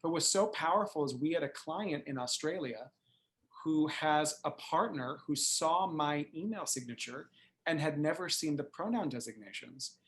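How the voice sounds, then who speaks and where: A man hosting a conversational interview, telling a story in an animated way and often checking in with "right?", heard over a video call. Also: on the high side, moderate pace, rough recording. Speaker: host.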